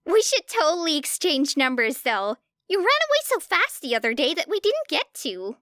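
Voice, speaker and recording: A woman's voice: high-pitched, sing-song, moderate pace, fairly bright tone, no echo, clean studio sound, pitched above the performer's own voice. A woman voicing a bubbly, eager teenage schoolgirl in an audiobook, gushing breathlessly. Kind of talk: audiobook character voice.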